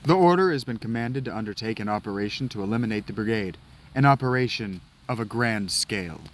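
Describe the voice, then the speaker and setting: A man's voice: fairly low pitch, expressive, fast talker, close to an everyday voice. A man voicing a stern male knight commander, speaking formally and declaiming orders. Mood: commanding.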